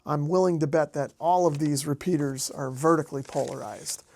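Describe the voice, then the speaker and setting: A man's voice: a little high, moderate pace, a little mellow. An American man casually narrating a hands-on hobby demonstration as host. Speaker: host, amateur radio hobbyist.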